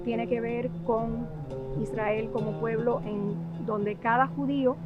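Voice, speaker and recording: A Dominican woman with a Dominican Spanish accent, answering in a documentary interview. She speaks thoughtfully and often pauses on filler sounds while she searches for words. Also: a little high, fairly even intonation, phone-quality sound. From Dominican Republic. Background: background music.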